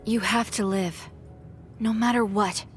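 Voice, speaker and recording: A woman's voice: mid-range voice, fairly even intonation, a little slow, over music, close to an everyday voice. A woman playing a composed, determined young fighter in an English anime game dub. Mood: urgent resolve.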